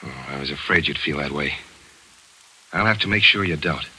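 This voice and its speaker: A man's voice: very deep voice, moderate pace, bright, forceful tone, slight background noise, full character voice, rough recording. An actor playing a cynical, hard-boiled American man in 1940s radio acting style, clipped and tough. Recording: old radio broadcast.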